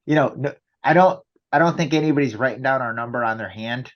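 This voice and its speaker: Slightly muffled, lo-fi audio carries a man's voice at an average pitch. He explains things conversationally as a company executive being interviewed, often checking in with short rhetorical questions. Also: quick pace.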